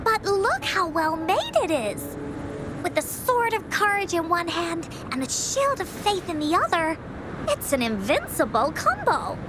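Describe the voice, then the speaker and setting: A very high, childlike little girl with cheerful, eager energy. She speaks at a slow pace in exclamatory anime-game style, with noticeable noise behind her. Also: sing-song, exaggerated voice. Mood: impressed.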